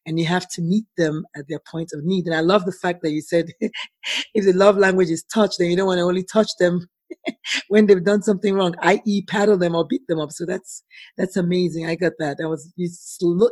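A woman's voice: on the low side, fairly even intonation, brisk, a little mellow, no room sound. A woman hosting a podcast, speaking in an encouraging, affirming way. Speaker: podcast host. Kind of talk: casual interview.

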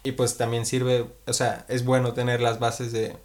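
A man's voice: mid-range voice, completely flat delivery, normal speed. A man hosts a casual podcast conversation. He prompts his guest with short, encouraging follow-ups and restates her points. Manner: supportive, prompting with short backchannels. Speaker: podcast host. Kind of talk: casual interview chat.

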